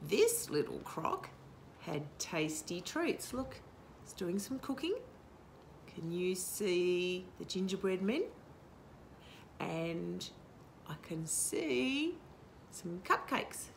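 A woman in her sixties reads to small children outdoors, taking her time. Her delivery is sing-song and very varied in pitch, full of little questions. Faint outdoor noise sits behind her. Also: slow, creaky, long pauses. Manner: animated, sing-song, asking the listener questions. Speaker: children's storytime host. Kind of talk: reading a picture book aloud to young children. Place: outdoor garden patio. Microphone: camera a short distance away.

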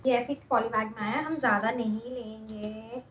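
High-pitched young Indian woman vlogger, echoey. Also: level tone, normal speed. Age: 20s. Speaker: home cooking vlogger. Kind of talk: casual cook-along vlog chat, switching between Hindi and English. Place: indoors at home, small curtained room. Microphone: phone camera a few feet away. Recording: echoey room, background noise, phone camera.

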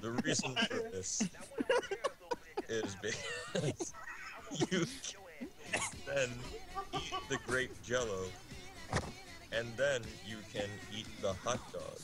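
A man reading absurd comic fiction in a deadpan way, drawing out his phrases with long pauses. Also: medium pitch, low-quality recording. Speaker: guest reader on a game stream. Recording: game music behind the voice. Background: loud video game music.

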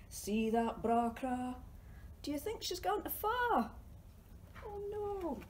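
Scottish woman, storytime leader, slow, mellow, sing-song delivery for toddlers. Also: sits high, slight background noise. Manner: playful sing-song reading, drops to whispers. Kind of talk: reading a picture book and singing to young children. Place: library reading corner.

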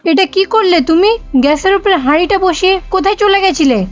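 A woman's voice: very high voice, fast talker, highs cut off, faint music behind. A woman playing a hot-tempered, scolding mother-in-law in a cartoon, with a dramatic, exasperated delivery. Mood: angry. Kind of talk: cartoon voice acting.

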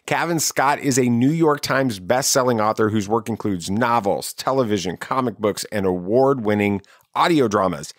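A man's voice: medium pitch, dry recording, clean studio sound. A man hosting a podcast, reading a scripted introduction. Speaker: podcast host.

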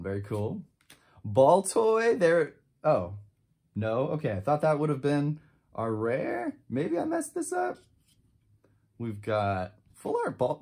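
A man in his twenties giving casual, enthusiastic pack-opening commentary, chatty and quick to drop short exclamations. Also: lowish voice, darkish tone. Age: 20s. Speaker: trading card channel host. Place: indoor room with shelves of collectibles.

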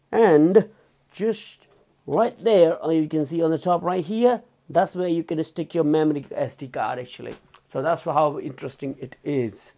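A man's voice: on the high side, darkish tone. A man giving a casual, unscripted product demonstration as a reviewer, repeating and rephrasing as he explains. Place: small indoor room at a desk.

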